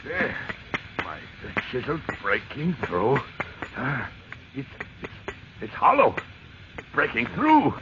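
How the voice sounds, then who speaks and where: An actor playing an obsessed, defiant man in a 1940s radio horror play, muttering feverishly and bursting into shouts. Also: medium pitch, wildly swooping pitch, slow, long pauses. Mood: frantic, fevered. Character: obsessed, defiant man frantically chiselling a statue. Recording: scratchy, muffled 1940s radio recording.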